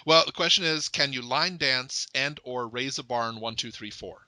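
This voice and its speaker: A man's voice: mid-range voice, moderate pace, bright, forceful tone, no echo. A man hosting a casual chat, playful and joking. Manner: playful, joking, frequent laughs. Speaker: podcast host.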